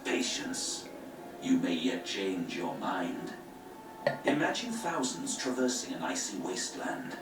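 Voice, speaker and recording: A man's voice: low-pitched, sing-song, slow, full character voice. A man voicing a grave male grand master of an order with a solemn, lofty, sermonizing manner, heard from a video game. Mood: persuasive. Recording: game audio from far off in an echoey room, with music.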